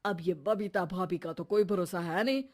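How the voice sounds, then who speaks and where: A woman's voice: on the low side, normal speed, a little mellow, close to an everyday voice. A woman voicing a flustered, sly, flirtatious middle-aged husband in a comic cartoon, stammering out bashful excuses. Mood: flustered, wheedling.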